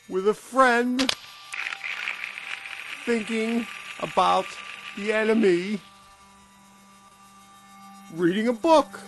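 Very slow, high-voiced woman reciting. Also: poor audio. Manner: measured, deliberate, one short phrase at a time. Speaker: radio play performer. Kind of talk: scripted recitation of short listed phrases.

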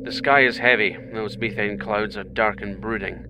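A man playing a calm, dryly humorous British astronaut captain in a sci-fi audio drama, reporting in clipped, formal phrases. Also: moderate pace, close to an everyday voice. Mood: composed, focused. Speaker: mission captain. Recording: noisy craft rumble behind.

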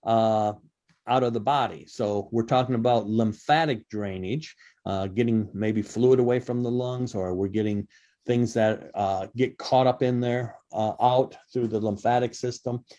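Mellow-voiced man hosting radio. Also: medium pitch, fairly even intonation, a little slow, dull audio. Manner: rambling, many fillers and restarts. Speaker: radio show co-host. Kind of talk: casual radio health talk. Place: radio studio.

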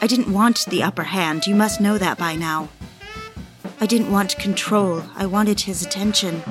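An actress playing a desperate, obsessive mistress in an audio drama, with a needy, confessional manner. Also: female voice, mid-range voice, normal speed, over music, close to an everyday voice. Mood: quiet confession. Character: desperate, obsessive mistress pleading for her lover's attention.

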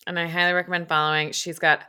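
A woman's voice: lowish voice, level tone, fast, bright, forceful tone, clean tone. A woman hosting a podcast interview, gushing and upbeat, then reading her sign-off. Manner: gushing, upbeat, says "so good" often. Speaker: podcast host.

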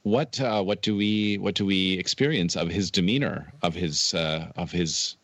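A man's voice: low voice, moderate pace, a bit bright, no echo. A man co-hosting a podcast, bantering casually and asking joking, mock-formal questions. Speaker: podcast co-host. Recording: noticeable background noise.

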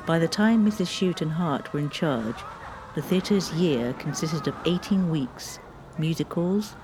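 A woman, reading a scripted local history narration in a measured way. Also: deep voice, expressive, background noise. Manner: measured, reads dates and figures.

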